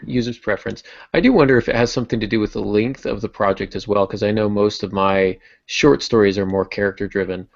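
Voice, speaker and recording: A man hosting a podcast in casual discussion, thinking aloud in a halting way. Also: on the low side, fairly even intonation, vocal fry, no echo, muffled recording. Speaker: podcast host.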